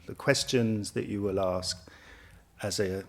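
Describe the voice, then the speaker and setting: A man speaking in a measured, formal way, as a college representative giving a promotional interview. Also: on the low side. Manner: measured, formal, abstract wording.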